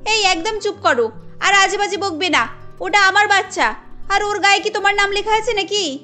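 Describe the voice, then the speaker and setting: A woman voicing a jealous, scheming young woman in a Bengali cartoon, speaking in a scornful, sharp-tongued way. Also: very high-pitched, normal speed, soft background music.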